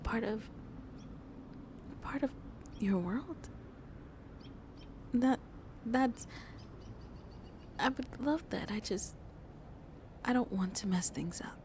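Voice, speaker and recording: Woman doing a shy lonely vampire girl asmr, real slow n soft, half whispered, halting, bit of low background noise. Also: animated. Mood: touched, nervous. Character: shy, lonely female vampire on a tender first date.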